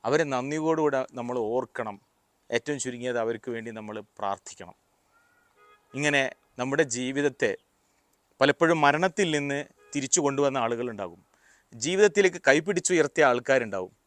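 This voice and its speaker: A man giving a motivational talk, explaining deliberately in short, paused phrases. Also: medium pitch, animated, a bit bright, no echo. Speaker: motivational speaker. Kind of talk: motivational talk with personal anecdotes.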